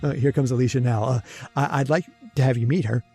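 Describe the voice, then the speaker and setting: A man's voice: mid-range voice, steady tone, fast, a little mellow, breathy edge, faint music behind. A man playing a henpecked, jittery immortal husband in an old-time radio drama, confiding his story with stammering, plaintive asides. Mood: weary, confiding. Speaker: actor. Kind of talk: old-time radio horror-comedy acting, first-person narration.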